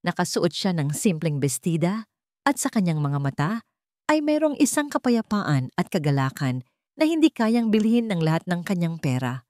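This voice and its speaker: Female narrator for dramatic storytelling: lowish pitch, lively intonation, earnest sentiment, dry close sound. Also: moderate pace, dry recording.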